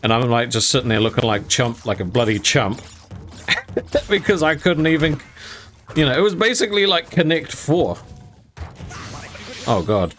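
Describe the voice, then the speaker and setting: Young male gaming host, casual storytime, mid-pitched, chatty and self-mocking, faint music behind. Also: big pitch swings, moderate pace, long pauses, a bit bright. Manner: rambling, laughs often, mild swearing.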